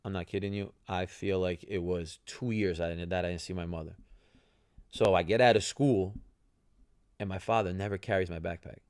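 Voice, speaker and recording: Man, young adult, first language Italian, low voice, gravelly, casual storytelling, loose and chatty, dry close sound. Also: fairly even intonation, moderate pace, darkish tone, no room sound. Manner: conversational, many fillers and tag questions. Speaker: podcast host, former soccer player.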